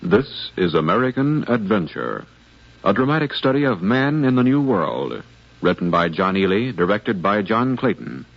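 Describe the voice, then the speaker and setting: Animated, formal vintage male radio narrator. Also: fairly low pitch. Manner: formal, deliberate. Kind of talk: 1950s scripted dramatic narration. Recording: dull 1950s radio recording.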